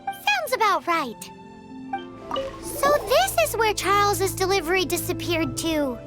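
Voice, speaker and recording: A woman voicing a tiny, excitable fairy girl companion in an anime game, with a squeaky childlike voice and perky manner. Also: measured pace, over loud music, exaggerated voice. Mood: cheeky. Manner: speaks of self in third person, perky.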